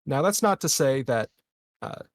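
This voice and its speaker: A man roleplaying a measured, diplomatic colony administrator in a tabletop game, speaking formally and haltingly. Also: mid-range voice, lively intonation, no room sound, clean studio sound, close to an everyday voice.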